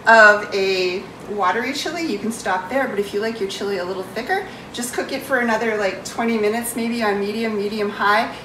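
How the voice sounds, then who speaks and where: A woman's voice: medium pitch, noisy background. A woman in her forties with a New England accent, chatting casually and joking about herself as she walks through a chili recipe in her home kitchen. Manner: chatty and self-mocking, talks while stirring and pouring. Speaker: home cook hosting a cooking video. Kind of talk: casual cooking demonstration. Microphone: far camera on a tripod. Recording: roomy kitchen audio from a distant camera. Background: food sizzling in a pan.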